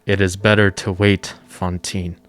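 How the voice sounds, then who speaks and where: An actor playing a gentle, protective fugitive convict who pleads with quiet dignity in a radio drama. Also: male voice, low-pitched, level tone, measured pace. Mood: tender, soothing.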